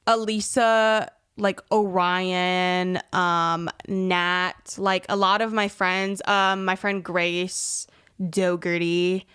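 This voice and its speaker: A woman's voice: fairly low pitch, flat delivery, extremely slow, a bit bright, clean tone. A young woman hosting a podcast in a casual, slangy, rambling way, peppering her talk with "like". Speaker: podcast host.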